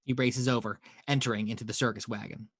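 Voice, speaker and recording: A man's voice: medium pitch, fast, no room sound. Man narrating a horror script reading. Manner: steady storytelling read with small slips. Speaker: narrator reading action lines. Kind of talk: table read narration.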